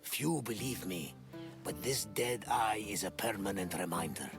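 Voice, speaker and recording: An actor playing a weathered, pious sea captain in a video game, talking dramatically with a gravelly voice and calling on the gods. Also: male voice, fairly low pitch, animated, unhurried, music in the background. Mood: ominous. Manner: dramatic, invokes the gods, bursts into laughs. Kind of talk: video game cutscene acting. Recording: game score under the voice.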